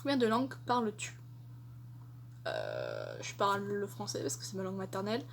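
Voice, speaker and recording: A woman's voice: medium pitch, huge pitch swings, moderate pace, vocal fry, slight background noise. A young woman answering tag questions in a casual vlog, chatty and hesitant with many fillers. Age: young adult. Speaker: vlogger.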